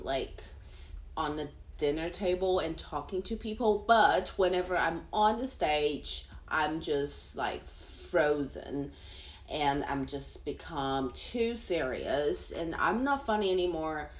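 Slow-talking woman in an echoey room. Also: low-pitched, lively intonation. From Taiwan. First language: Mandarin. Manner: rambling, chatty, halting second-language phrasing. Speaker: podcast host. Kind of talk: casual solo podcast monologue. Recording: roomy echo.

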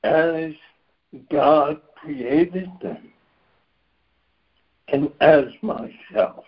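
A man losing his voice gives a reflective spiritual share on a group call, measured and clearing his throat now and then. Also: a little high. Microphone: phone on a conference call. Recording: dull lo-fi conference call line.

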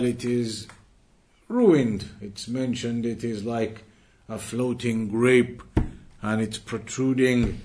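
Man, religious teacher, earnest delivery, fluent arabic recitation, quizzes students. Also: mid-range voice. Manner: quizzes the class and waits for answers. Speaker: Islamic teacher. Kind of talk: religious lesson to a live class.

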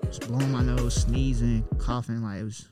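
A man's voice: mid-range voice, level tone, moderate pace, loud music behind the voice. A young man, a rapper, chatting casually and telling a long story in a loose, profane way. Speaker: rapper guest. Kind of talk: casual chat.

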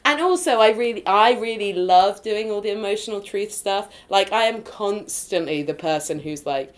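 A woman with a nasal, projecting voice, chatting excitably as a podcast guest, full of fillers and quick laughs. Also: medium pitch. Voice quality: loud, projecting voice. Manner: bubbly and excitable, laughs often, many fillers. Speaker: podcast guest, improv performer. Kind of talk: casual podcast interview.

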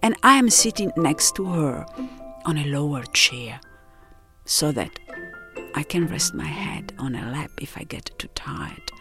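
A woman narrating her own scripted first-person story in a reflective, unhurried manner with deliberate pauses. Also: fairly low pitch, vocal fry.

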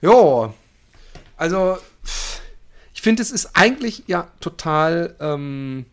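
A man co-hosting a podcast, chatting casually and humorously, telling things like an animated storyteller. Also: on the high side, slow pace. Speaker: podcast co-host.

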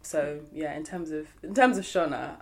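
A woman's voice: sits low, moderate pace, a little mellow. A woman from Zimbabwe chatting casually on a podcast, self-mocking and often laughing as she talks. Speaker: podcast co-host. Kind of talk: casual group chat.

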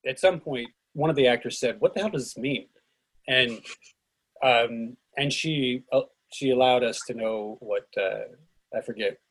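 A man speaking as an actor and host in a casual discussion, halting and digressive. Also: medium pitch, breathy edge, low-quality recording. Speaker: actor and director hosting a play reading.

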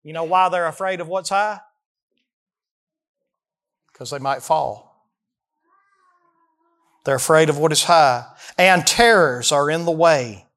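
High-pitched Southern man preaching. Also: takes it slowly, long pauses, fairly bright tone. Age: early 40s. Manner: folksy, emphatic, rhetorical questions to listeners. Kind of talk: expository sermon, reading verses and explaining them.

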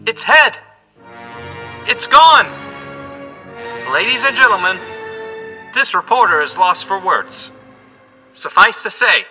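An actor playing an excited, melodramatic reporter giving live commentary in an audio drama, announcing breathlessly. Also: male voice, high voice, heavily acted, poor audio. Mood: shocked.

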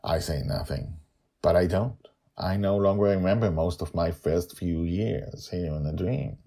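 A man voicing a wistful, forgetful grandfather who narrates a fantasy adventure in measured, simple phrases. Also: sits low, vocal fry, dark tone, heavily acted. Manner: measured, simple present-tense storytelling.

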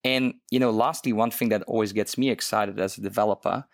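A man's voice: lowish voice, fairly even intonation, brisk, vocal fry, no echo, studio quality. A man from Luxembourg co-hosting a casual tech podcast, talking with enthusiasm and lots of fillers and restarts. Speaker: co-host, developer advocate.

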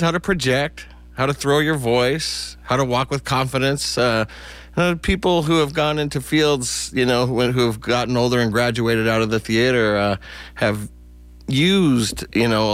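Casual mature man, lowish dry voice. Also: a bit bright, dry recording. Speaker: interviewee, theater director. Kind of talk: casual anecdotal interview answers.

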